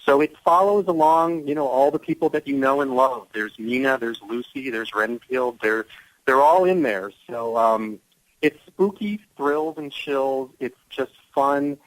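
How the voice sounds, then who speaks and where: A man's voice: mid-range voice, unhurried, rough recording. A man speaking as an actor guest in a casual radio interview, explaining things with enthusiasm. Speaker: guest, audio drama actor.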